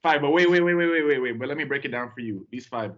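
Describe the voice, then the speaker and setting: A young man from Tanzania, a podcast guest, telling his story in a relaxed, rambling way with lots of fillers. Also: medium pitch, fast talker, highs cut off. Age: young adult. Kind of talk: casual podcast chat.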